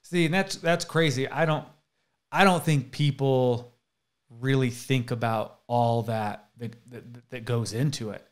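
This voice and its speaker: Brief: man in his thirties, excitable interview host, stumbles and restarts, desk mic. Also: medium pitch, measured pace. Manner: enthusiastic, self-deprecating, many restarts. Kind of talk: casual interview chat. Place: indoor studio room with posters. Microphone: desk podcast mic, seen.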